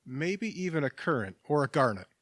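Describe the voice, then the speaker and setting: Kindly settled businessman, gentle, measured, lively melodic delivery, dry close sound, audio drama dialogue. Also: fairly high pitch, no echo. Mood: gently teasing. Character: mild, settled, kindly businessman, a patient listener.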